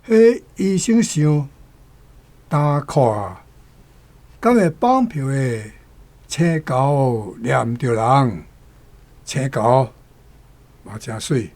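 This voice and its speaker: A man's voice: medium pitch, a little mellow. A man with a Taiwanese Hokkien accent giving informal, explanatory commentary on a piece of writing, as a guest.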